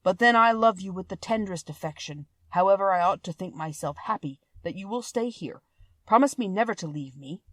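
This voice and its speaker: A woman voicing a gentle, lovesick male beast in a storybook, mournful and humble, with formal old-fashioned speech. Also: mid-range voice, expressive, fairly quick, no echo, lightly acted. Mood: mournful, tender.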